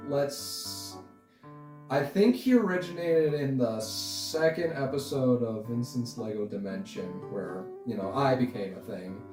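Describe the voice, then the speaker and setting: Mellow young man, distant and echoey. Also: mid-range voice. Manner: rambling, halting, chuckles often. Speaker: interview guest, online animation creator. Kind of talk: casual interview chat. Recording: roomy echo, distant mic, music behind.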